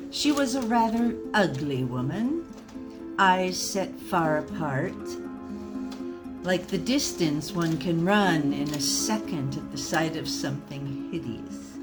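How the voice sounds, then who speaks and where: A woman reading a comic story aloud in a dramatic, mock-serious, deliberate storyteller's manner. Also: low-pitched.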